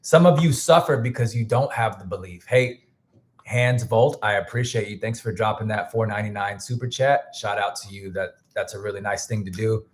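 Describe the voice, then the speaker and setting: A man hosting a casual music livestream, rambling loosely with many restarts and thanking viewers, a little hoarse as he starts losing his voice. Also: on the low side, moderate pace. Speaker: music producer and livestream host.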